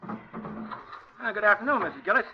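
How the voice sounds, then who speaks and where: An actor playing a friendly, brisk milkman in a 1940s radio drama. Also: high-pitched, expressive, breathy edge, heavily acted. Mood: cheerful. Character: cheerful male milkman. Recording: dull 1940s radio broadcast.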